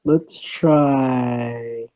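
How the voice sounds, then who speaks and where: A narrator reading a visual novel aloud in a halting way, voicing the lines of dialogue, on a dull microphone. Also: mid-range voice, fairly even intonation, mellow.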